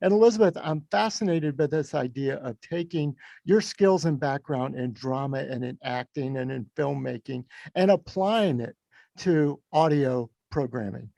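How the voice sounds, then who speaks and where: A man's voice: mid-range voice, normal speed, vocal fry, warm tone, no room sound. A man speaking as the host of an interview podcast.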